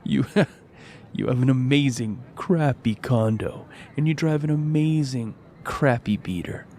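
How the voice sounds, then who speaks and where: A man's voice: medium pitch, unhurried, mellow, a little hiss or hum, natural voice. A man playing a smug teenage football jock and bully in an audio drama, mocking and condescending as he taunts.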